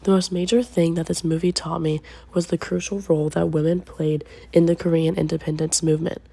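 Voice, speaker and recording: A young woman presents a scripted film review for a class. Her voice sits low and sounds soft and mellow. She slows down with care whenever she reaches a name. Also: flat delivery, creaky, slightly rough. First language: English. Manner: careful, slowed delivery on names. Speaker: student presenter.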